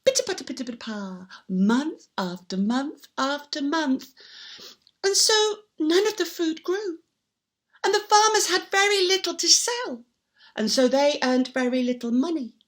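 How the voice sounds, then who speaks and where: High, animated woman storyteller for children. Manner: theatrical, with repeated sound words and drawn-out emphasis; invites listeners to join in. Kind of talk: interactive storytelling for young children.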